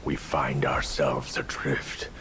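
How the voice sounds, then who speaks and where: Grave vengeful dragon spirit, super low voice, drags every word. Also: male voice, flat delivery, noisy background. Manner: solemn, heavy slow delivery. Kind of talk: video game cutscene dialogue. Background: music.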